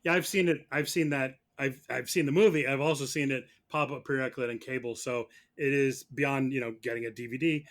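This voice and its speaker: A male podcast guest talks casually with the panel. His voice has an ordinary, middle pitch for a man, and the style is plain conversation. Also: steady tone, a little fast, few pauses, a bit bright. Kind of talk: casual chat.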